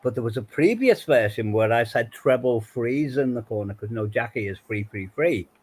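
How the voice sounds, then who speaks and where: A man's voice: mid-range voice, lively intonation, moderate pace, a little mellow, husky. Rambling man with faded Liverpool accent. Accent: faded Liverpool accent softened by years in southwest England. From Liverpool, England. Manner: rambling, self-interrupting, laughs often. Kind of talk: casual chat.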